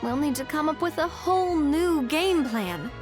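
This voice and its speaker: A woman's voice: high voice, lively intonation, normal speed, over music. A woman playing a casual, friendly young woman who knows the local gossip in a video game. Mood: resigned.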